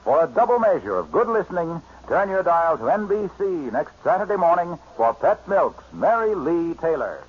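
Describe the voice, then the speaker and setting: Vintage American male announcer, scripted sponsor reads with lively melody and husky tone; dull 1950s broadcast sound. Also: a little high, moderate pace, hardly any pauses, slight background noise. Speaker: radio announcer. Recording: muffled 1950s radio recording.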